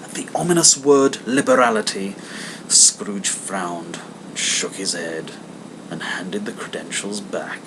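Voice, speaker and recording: A man reading a classic story aloud in an expressive narrating style. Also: sits low, measured pace, noisy background. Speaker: amateur audiobook reader.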